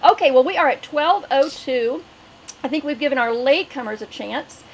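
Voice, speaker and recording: A middle-aged woman with a high voice hosts an online webinar, working through welcomes and housekeeping announcements. There is faint noise in the recording. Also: normal speed, rough recording. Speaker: webinar host.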